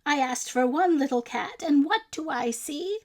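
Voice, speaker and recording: A woman's voice: high-pitched, lively intonation, normal speed, pitched above the performer's own voice. A woman voicing a very old storybook wife, exasperated but practical, with an emphatic way of talking. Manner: exclaiming, scolding, emphatic.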